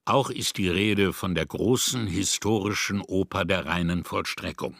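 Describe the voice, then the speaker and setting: A man reading scripted essay prose in a measured, formal manner. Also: low voice, gravelly, fairly bright tone, no echo, studio quality. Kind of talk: scripted literary essay narration.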